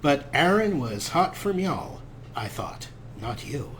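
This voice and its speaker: A man's voice: fairly high pitch, big pitch swings, takes it slowly, a bit bright, slight background noise. A man hosting a let's play with wry, amused, casual commentary.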